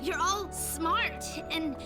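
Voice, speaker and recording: Earnest, very high-pitched cartoon pony. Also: female voice, drawn-out words, heavily acted. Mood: earnest, encouraging. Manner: earnest, encouraging. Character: earnest, encouraging young female cartoon pony. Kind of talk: animated series dialogue. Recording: quiet show clip with music. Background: music.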